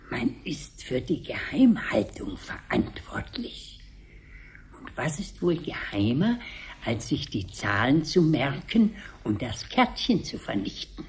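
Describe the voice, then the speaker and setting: Elderly caretaker, self-important, fussy, unhurried, animated pitch, slightly hissy 1970s radio drama. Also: male voice, vocal fry, heavily acted. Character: fussy, proud, elderly caretaker wielding accidental power. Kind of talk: 1970s German radio play acting.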